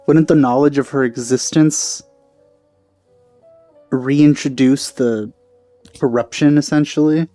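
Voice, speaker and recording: A man giving casual let's-play commentary, musing aloud in a halting, informal way. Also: mid-range voice, fairly even intonation, mellow, no echo, faint music behind. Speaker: gaming video host.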